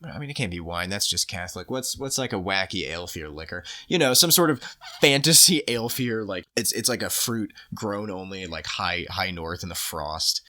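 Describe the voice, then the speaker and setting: A man's voice: low-pitched, animated, normal speed, hardly any pauses, bright, forceful tone. Casual man running a tabletop game. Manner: casual, slangy. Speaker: game master. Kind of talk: improvised tabletop game narration.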